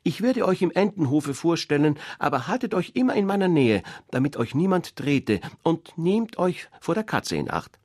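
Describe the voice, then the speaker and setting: A man doing a shrill, bossy mother duck. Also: sing-song, normal speed, few pauses, darkish tone, no echo, studio quality. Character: fussy proud mother duck, hen. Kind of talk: storybook reading.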